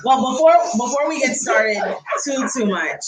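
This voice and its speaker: A woman's voice: high-pitched, lively intonation, moderate pace, heavy background noise. A woman hosting a casual livestream, chatting and addressing viewers directly. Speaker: livestream host, home gardener. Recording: live stream with people talking behind.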